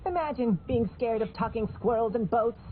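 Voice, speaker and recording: A woman's voice: a little high, normal speed, slight background noise, lightly acted. A woman playing a cheeky talking squirrel, amused and condescending, in a video game. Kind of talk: video game character acting. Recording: tinny game capture, faint music.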